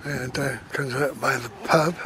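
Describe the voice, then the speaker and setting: A man's voice: medium pitch, steady tone, a little slow. A man chatting casually as he films a walk outdoors on a handheld camera. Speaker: vlogger filming a walk. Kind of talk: casual chat while walking. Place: outdoors in seaside town streets. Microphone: handheld camera microphone. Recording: noisy outdoor camera audio, street ambience.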